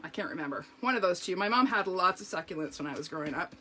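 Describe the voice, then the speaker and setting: A woman showing card-making step by step in a live video, chatty and talking to her viewers, her voice slightly hoarse. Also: lowish voice, expressive, quick pace, sharp tone, slight background noise. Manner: chatty, explains each step, talks to viewers. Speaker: craft demonstrator.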